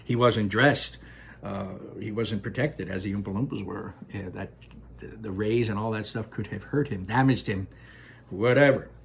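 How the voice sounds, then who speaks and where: A man's voice: fairly low pitch, normal speed, creaky, slight background noise. A man, a teacher, reading a children's book aloud and then chatting about it in a rambling, informal way. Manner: rambling and informal, full of fillers and restarts. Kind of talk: storybook read-aloud and chat. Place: room in his home without air conditioning.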